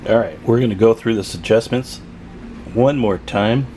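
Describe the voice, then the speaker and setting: A man giving a casual hands-on repair tutorial, talking informally and haltingly as he thinks aloud while working. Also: fairly low pitch, warm tone, slight background noise. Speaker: video host demonstrating CB radio tune-up.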